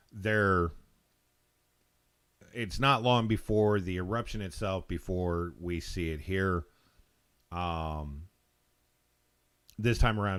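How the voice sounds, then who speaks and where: A fairly low-pitched American man hosts a live talk show. He speaks casually and informally, rambling along with halting stops as he comments. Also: clean tone. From Texas, United States. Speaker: live call-in show host.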